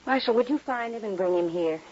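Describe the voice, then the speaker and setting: A woman's voice: on the high side, animated, fairly quick, a little mellow, slight background noise, natural voice. An actress playing a wounded but resolute young saloon woman in an old-time radio western. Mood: subdued, resolved. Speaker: radio drama actor. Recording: dull old radio recording.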